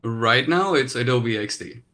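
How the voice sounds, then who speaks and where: A man from Iran, a product designer, answering interview questions on a video call, expressive and quick to laugh. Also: medium pitch. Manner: laughs often, expressive and energetic.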